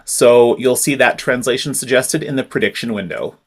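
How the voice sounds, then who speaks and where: Male tutorial presenter, mid-pitched voice, clear instructive delivery at a desk mic. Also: moderate pace. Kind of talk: screen-recorded software tutorial.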